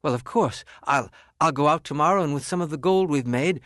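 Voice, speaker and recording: A man's voice: on the high side, fairly quick, a bit bright, no room sound, studio quality, voice pushed up, lightly acted. A man voicing a humble, grateful storybook shoemaker who stammers earnestly. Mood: eager.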